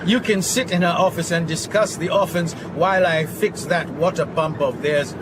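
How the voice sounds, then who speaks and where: An actor plays a kindly, put-upon mechanic with a Southern African accent in a radio drama. His voice is slightly high, polite and mildly resigned. Clear vehicle noise runs behind it. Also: normal speed, natural voice. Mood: resigned, amiable. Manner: polite, formal, gently complaining. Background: car and outdoor ambience.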